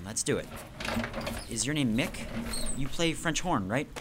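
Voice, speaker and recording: Animated, earnest teenage boy in noisy recording, acted by a man. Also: mid-range voice, a little slow, close to an everyday voice. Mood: reassuring. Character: earnest, level-headed teenage schoolboy survivor. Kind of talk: radio drama acting, narrating and dialogue.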